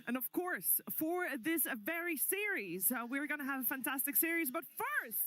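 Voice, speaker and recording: High-pitched woman hosting a live esports event. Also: big pitch swings, normal speed, sharp tone. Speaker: esports stage host.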